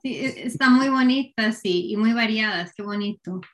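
A woman interviewer speaks in a slightly high voice. She is polite and formal, and she works through prepared questions with frequent fillers like "eh" and "ok.". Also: normal speed, fairly bright tone, a little hiss or hum. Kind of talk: structured oral-history interview. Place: Connecticut, United States.

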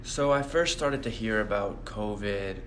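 A young man who grew up in the Bahamas, answering interview questions in a relaxed way and letting his thoughts trail off softly. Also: lowish voice, measured pace, a little hiss or hum. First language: Portuguese. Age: young adult. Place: hotel meeting room.

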